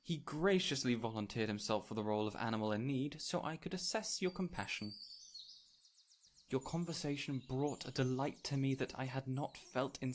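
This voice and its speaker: A man playing an ancient, whimsical fey spirit in an audio roleplay, speaking in a gentle, courtly, theatrical way with lingering pauses. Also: medium pitch, slight background noise, close to an everyday voice. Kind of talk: audio roleplay addressed to the listener.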